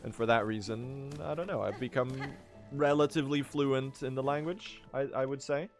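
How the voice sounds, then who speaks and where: Rambling young Swedish-born streamer, mid-pitched. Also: male voice, soft background music. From Sweden. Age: late teens. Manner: rambling, joking, quick to excited outbursts. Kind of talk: casual livestream chat while gaming.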